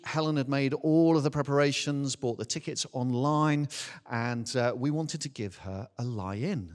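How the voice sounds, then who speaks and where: A middle-aged man preaching as a minister, telling a personal story in a chatty, self-deprecating, animated way. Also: mid-range voice. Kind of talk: anecdotal sermon, informal storytelling. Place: large indoor church hall. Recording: reverberant hall, live church talk.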